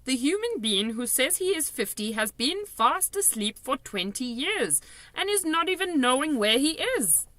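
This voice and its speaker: A woman voicing a kindly, excitable old male giant from a children's storybook, earnest and emphatic, with muddled grammar and nonsense words. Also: high-pitched, normal speed, dry recording, voice pushed up, heavily acted.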